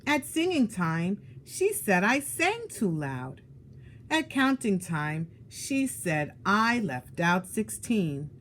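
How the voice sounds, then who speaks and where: Female children's storyteller; unhurried, emphatic and very lively in intonation, slight background noise. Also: medium pitch. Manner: deliberate, emphatic phrasing. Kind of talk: expressive children's story read-aloud.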